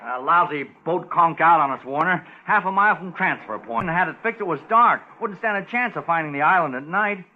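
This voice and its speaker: An actor playing a concerned, plainspoken male rescuer, brisk and colloquial, in 1950s radio drama style. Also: a little high, lively intonation, close to an everyday voice. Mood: concerned, puzzled. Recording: tinny, faintly noisy old radio recording.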